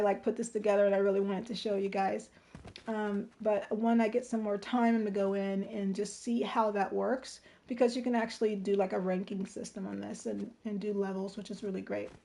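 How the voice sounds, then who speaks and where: The woman you'd find on a late livestream walking viewers through a game-building tool, informal, soft-toned and a little hoarse from talking. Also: medium pitch. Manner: informal, step-by-step explaining, checking in with "right?" and "okay?". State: slightly hoarse, strained voice. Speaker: livestream tutorial host. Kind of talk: casual game-design tutorial.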